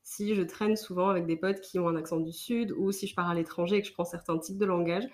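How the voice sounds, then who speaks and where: A woman's voice: lowish voice, fairly even intonation, fast, darkish tone. A woman hosting a casual writing podcast, chatty and friendly, with many fillers. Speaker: podcast host.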